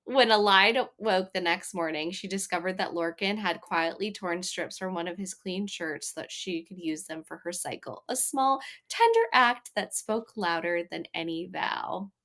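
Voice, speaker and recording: A woman's voice: fairly low pitch, lively intonation, normal speed, few pauses, fairly bright tone. A woman co-hosting a book club podcast, talking in an informal, gushing way and swearing freely. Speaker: podcast co-host. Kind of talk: casual book club chat with read-out chapter recap.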